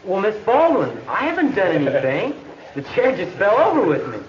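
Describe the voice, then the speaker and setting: A man's voice: high-pitched, huge pitch swings, moderate pace. A young man playing a cheeky American teenage class clown who acts innocent in a 1950s educational film. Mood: mock innocent. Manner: mock-innocent, exaggerated. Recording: echoey, muffled, noisy 1950s film soundtrack.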